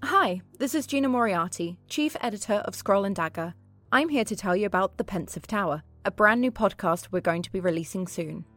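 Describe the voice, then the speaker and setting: A woman with a mid-pitched voice reads a scripted podcast promo. She presents as an editor, with light music playing behind her.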